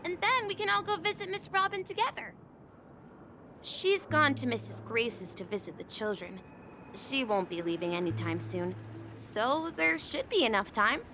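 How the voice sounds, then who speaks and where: A woman's voice: high voice, lively intonation, moderate pace, background noise. A woman voicing a polite, eager, innocent young boy bellboy in a video game, earnest and slightly hesitant. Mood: reassuring, helpful.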